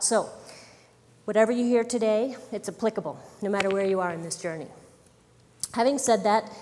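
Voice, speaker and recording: Engaging woman lecturer, lively, mid-pitched, long hall reverb. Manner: lively, engaging, talks to the audience. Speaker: naturopathic doctor, presenter. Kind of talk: health lecture. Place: conference hall. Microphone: wireless microphone.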